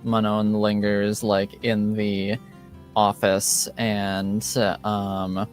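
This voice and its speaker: Man, game master; slow, halting narration with fillers, mid-pitched voice, faint music under. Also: monotone.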